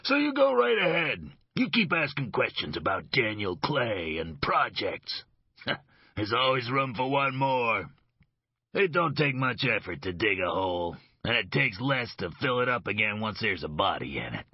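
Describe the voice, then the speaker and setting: A man's voice: on the low side, big pitch swings, unhurried, husky, no echo, heavily acted. A man voicing a belligerent, menacing middle-aged thug who snarls, curses and mocks. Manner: snarling, cursing, mocking laughs. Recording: dull, dry lo-fi audio.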